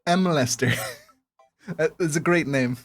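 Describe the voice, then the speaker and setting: A woman's voice: sits low, unhurried, faint music behind. A woman giving casual game commentary as a streamer, playful, giggly and sweary. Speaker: gaming streamer.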